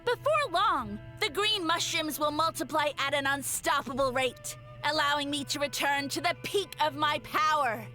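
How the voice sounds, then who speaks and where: Bright, high boastful mushroom god. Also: female voice, moderate pace, few pauses, faint music behind. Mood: grandiose. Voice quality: grandiose, theatrical villain speech. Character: boastful scheming mushroom creature, fallen would-be god. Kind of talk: game voice acting.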